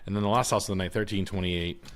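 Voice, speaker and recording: A man's voice: sits low, fairly even intonation, fast, clean tone. A young adult man hosting a livestream, rambling in a crude, laid-back way. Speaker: host. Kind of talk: casual livestream chat.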